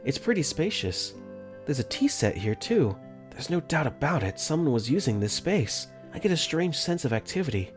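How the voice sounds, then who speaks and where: Brief: male narrator-lead, lively pitch, earnest and flustered, first-person visual novel dub. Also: moderate pace, soft background music.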